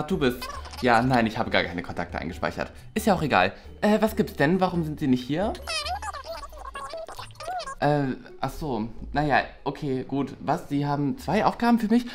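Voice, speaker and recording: A woman playing a lazy, easily annoyed teacher in a comedy sketch, grumbling with theatrical reluctance. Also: sits low. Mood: dreamy, interrupted, then annoyed, whiny.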